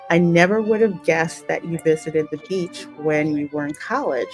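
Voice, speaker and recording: A woman's voice: sits low, normal speed. A woman hosting a gaming channel, reading dialogue aloud and reacting in a chatty, playful, teasing way. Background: game music.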